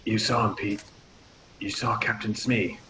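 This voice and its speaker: A man's voice: lowish voice, level tone, measured pace, bright, forceful tone, a little hiss or hum, rough recording. A man playing an injured but commanding spaceship captain in a sci-fi table read, direct and encouraging. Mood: steady, groggy.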